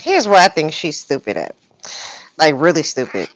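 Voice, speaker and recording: A woman's voice: deep voice, very animated, moderate pace. A woman giving opinionated, emphatic gossip commentary in a casual livestream chat. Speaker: co-host of a livestream. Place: livestream call. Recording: muffled lo-fi livestream audio.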